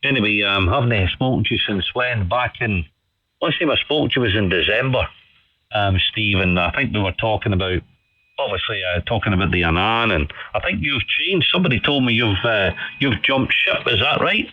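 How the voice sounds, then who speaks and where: A man's voice: on the low side, fairly quick, dry recording. A man chatting politely and casually as an amateur radio operator, often repeating phrases for emphasis, heard over the radio. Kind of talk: casual ham radio chat. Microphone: studio condenser microphone over radio. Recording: dull band-limited shortwave radio signal.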